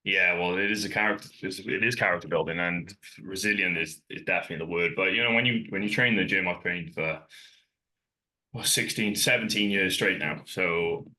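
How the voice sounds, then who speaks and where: A Welsh man in his thirties with a very low voice and a sharp, forceful edge to his tone. He is a podcast guest and fitness coach who talks candidly and loosely, wandering through his thoughts with plenty of fillers. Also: brisk, low-quality recording. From Wales. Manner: relaxed and candid, rambling with fillers. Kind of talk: casual chat. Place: in Ireland, remote.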